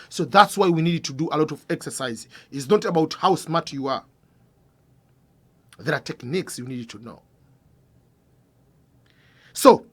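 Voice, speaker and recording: Guy with a high voice giving scholarship tips, earnest, trails off quiet at phrase ends. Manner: earnest, repeats and rephrases points, asks the viewer questions. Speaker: scholarship advice channel host. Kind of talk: informal explanatory talk to camera.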